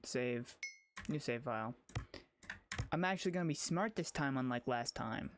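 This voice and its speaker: A woman's voice: a bass voice, lively intonation, normal speed, slight background noise. A woman game streamer playing a visual novel and reading its text aloud. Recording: music behind the voice.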